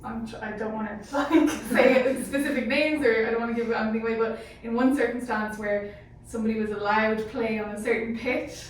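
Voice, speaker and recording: A woman with a fairly high voice speaks informally in a group discussion. The room is very echoey and she sits back from the mic, with faint noise around her. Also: steady tone, brisk, far from the mic. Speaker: panel team member.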